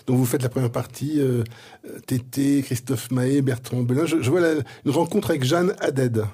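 Husky-voiced man asking questions. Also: medium pitch, fairly even intonation, normal speed, dark tone. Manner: conversational, stumbling restarts. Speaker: radio interviewer. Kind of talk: interview questions.

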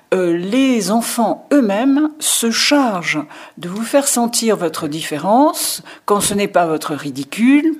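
Husky, thoughtful French woman guest. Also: fairly low pitch, a little mellow. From Pyrénées-Orientales, France. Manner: thoughtful, hesitant with many pauses. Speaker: author guest. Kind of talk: reflective interview answers.

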